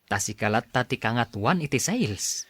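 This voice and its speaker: A man's voice: mid-range voice, sing-song, normal speed, fairly bright tone, husky, no echo. Guy playing friendly ilocano workmate, teasing, bouncy delivery, dry studio sound, audio drama. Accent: Ilocano Filipino. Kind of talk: acted radio drama dialogue.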